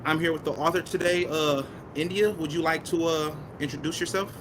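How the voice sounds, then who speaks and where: Man, young adult, podcast host, joking, slangy, steady "mm-hmm"s, hissy recording. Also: fairly high pitch, brisk. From Detroit. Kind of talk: casual interview chat.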